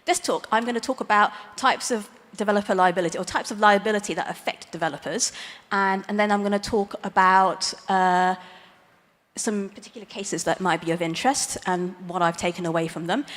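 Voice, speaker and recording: A woman's voice: mid-range voice, steady tone, fairly quick, a bit bright. Woman lawyer speaking in a reverberant hall. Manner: plain explaining with light self-aware humor. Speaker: lawyer giving a conference talk. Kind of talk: explanatory conference presentation. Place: large conference hall stage. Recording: long reverb of a big hall.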